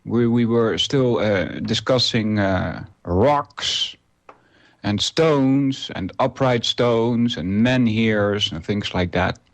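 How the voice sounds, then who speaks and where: A man's voice: lowish voice, takes it slowly. A man in his forties, a native Dutch speaker, hosting a solo internet radio show and talking it through unscripted, with many filler uhs and restarts. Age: 40s.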